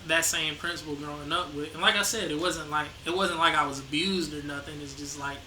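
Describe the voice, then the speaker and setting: A man's voice: on the high side, fairly even intonation, a little fast, bright, forceful tone. A young man talking casually as a guest, hesitant and laid-back, restarting his sentences often. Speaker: guest, the host's son. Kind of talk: casual conversation. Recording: echoey room with faint noise.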